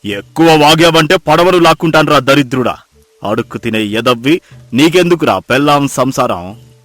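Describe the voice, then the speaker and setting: A man's voice: mid-range voice, animated, moderate pace, a bit bright, dry recording, close to an everyday voice. Sneering village moneylender, acted by a man, music behind. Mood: callous, bullying. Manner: sneering, threatening, insulting. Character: arrogant, cruel village moneylender man, bullying and mocking. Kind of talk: dramatised moral story acting.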